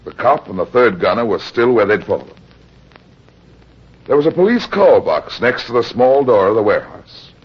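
An actor playing a tough, matter-of-fact American private detective, with clipped old-time radio noir delivery. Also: male voice, medium pitch, very animated, normal speed, husky, lo-fi sound. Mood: tense, grim narration. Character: hard-boiled, unsentimental male private detective in pulp radio drama.

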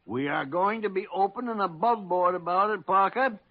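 An actor playing a crusty, blustering older senior doctor in an old radio drama, gruff and stammering as he hunts for words. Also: sits high, moderate pace. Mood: grumbling, emphatic. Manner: gruff, grumbling, stammering when searching for words. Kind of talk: 1940s radio drama acting. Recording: muffled vintage radio recording.

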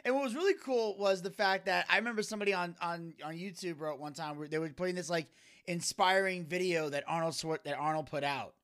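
Co-host, male, high bright voice, lively storytelling. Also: brisk. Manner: animated storyteller, laughs often. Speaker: podcast co-host. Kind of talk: casual chat.

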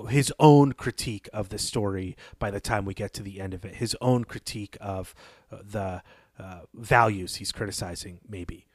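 A man's voice: fairly low pitch, no echo. Thoughtful man hosting, dry close sound. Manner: thoughtful, wanders with fillers, then leads the topic. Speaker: podcast host. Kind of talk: casual literary discussion.

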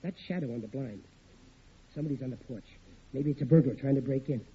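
A man's voice: medium pitch, fast talker, lightly acted. An actor playing a blustering, suspicious middle-aged working-class father with an American accent in vintage radio sitcom style. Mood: alarmed, watchful. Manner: blustering, indignant, ungrammatical speech. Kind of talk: 1940s radio situation comedy acting. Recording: noisy, tinny vintage radio recording.

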